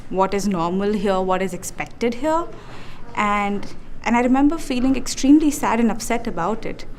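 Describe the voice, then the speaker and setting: A woman's voice: medium pitch, slight background noise. A woman student, speaking emotionally and emphatically about her experience. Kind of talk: personal testimony.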